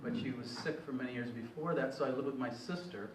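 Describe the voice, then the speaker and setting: A man's voice: mid-range voice, fast talker, background noise. Man in his sixties, rambling, hesitant, far off mic, echoey hall. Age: 60s. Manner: rambling, self-correcting, hesitant. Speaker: panelist. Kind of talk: personal storytelling on a panel. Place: event hall.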